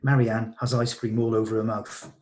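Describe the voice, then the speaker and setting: A man's voice: on the low side, little pitch movement, normal speed, a little mellow. A man giving a scripted narration of screenplay stage directions in a read-through over a video call. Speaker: reader of stage directions, running the rehearsal.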